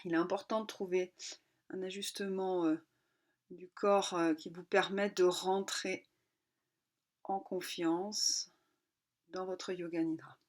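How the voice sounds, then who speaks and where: Woman guiding a calm relaxation session. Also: fairly low pitch. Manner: calm, unhurried, instructional with pauses between short phrases. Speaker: yoga nidra instructor. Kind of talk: guided relaxation.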